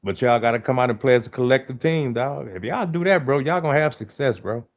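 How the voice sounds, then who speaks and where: A man's voice: medium pitch, fairly quick. A man co-hosting a sports stream, emphatic and hyped, throwing in bro and dog constantly. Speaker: co-host on a sports panel. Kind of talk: casual sports talk. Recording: muffled, lo-fi line.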